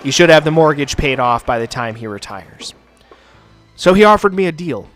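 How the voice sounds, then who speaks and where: A man's voice: fairly high pitch, lively intonation, moderate pace, fairly bright tone, soft background music. A young man from the United States, hosting a gaming channel and rambling casually with plenty of swearing and filler words. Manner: profane, rambling, filler-heavy. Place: home basement studio.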